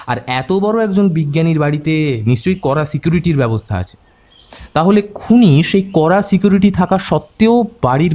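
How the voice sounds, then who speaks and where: A man's voice: on the high side, mellow, lightly acted. Man acting firm, inquisitive amateur detective. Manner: firm, questioning, brusque. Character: Hrishikesh, decisive, probing male amateur detective. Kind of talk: Bengali audio drama acting.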